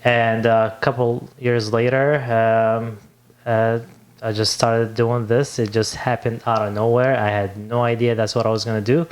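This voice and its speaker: A man's voice: mid-range voice, little pitch movement, normal speed. A young man from Libya, an English speaker, telling his story as a podcast guest and searching for his words. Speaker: podcast guest, business owner. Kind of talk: casual interview chat.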